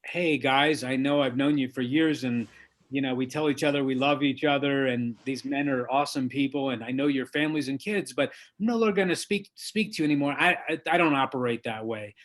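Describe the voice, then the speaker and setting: A man being interviewed as an author, lawyer and diversity trainer, speaking in an earnest, measured and emphatic way. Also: mid-range voice, fairly bright tone. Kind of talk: interview guest, conversational.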